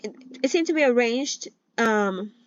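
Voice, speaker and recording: A woman's voice: a little high, animated, unhurried, no room sound. Brief: a woman, non-native English, hosting a chatty novel read-along; trips over words and restarts, on a dull lo-fi mic. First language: not English. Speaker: channel host reading a novel aloud.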